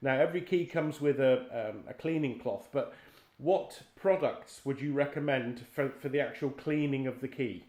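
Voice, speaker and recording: A man's voice: mid-range voice, normal speed, a little mellow. A middle-aged man interviewing someone in a conversational style. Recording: echoey room sound.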